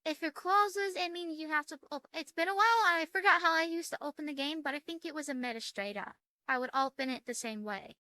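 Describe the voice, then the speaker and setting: A woman hosting a gaming video, chatting casually and reading game text aloud in a halting way that repeats words. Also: high-pitched, pushed and bright, dry recording.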